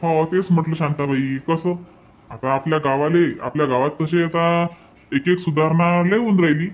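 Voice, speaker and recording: A man's voice: fairly high pitch, moderate pace, highs cut off, natural voice. A man voicing an earnest, community-minded village headman in a cartoon, speaking folksy, repetitive rural Vidarbha Marathi like someone making a little speech. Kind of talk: hobby cartoon voice-over, village dialogue.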